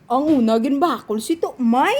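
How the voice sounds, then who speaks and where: A Filipino woman with a Visayan accent telling an improvised story to children, animated and often directing them, in a noisy recording. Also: high-pitched, normal speed.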